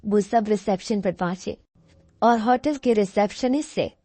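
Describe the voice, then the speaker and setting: A woman's voice: medium pitch, normal speed, warm tone, dry recording. A woman giving a scripted narration of a horror cartoon story. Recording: music bed behind the voice.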